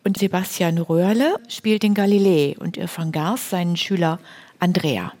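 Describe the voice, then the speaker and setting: A woman's voice: lowish voice, normal speed, a little mellow. A woman hosting, introducing material in a conversational way. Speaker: host.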